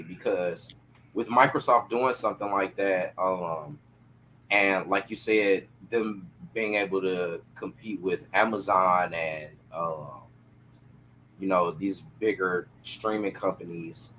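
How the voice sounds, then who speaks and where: A man chatting casually on a podcast panel in an animated, slangy, profane way. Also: low-pitched, unhurried, a little hiss or hum, poor audio.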